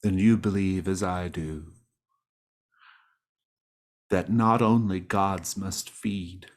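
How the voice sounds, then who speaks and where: A man voicing a solemn religious zealot in a tabletop game, preaching slowly. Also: sits low, steady tone. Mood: solemn, fervent. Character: solemn dirt-digging male religious zealot.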